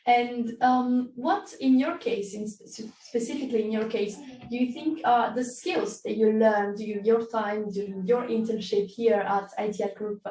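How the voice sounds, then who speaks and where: A woman's voice: fairly high pitch, moderate pace, hardly any pauses, warm tone. A woman interviewer asking long questions, with fillers and self-corrections. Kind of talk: video call interview. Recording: echoey, distant from the mic.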